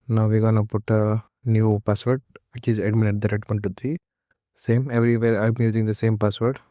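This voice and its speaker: A man giving an unscripted, step-by-step technical setup walkthrough in a halting, repetitive instructional way. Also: on the low side, little pitch movement, mellow, dry recording, clean studio sound. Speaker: technical tutorial presenter.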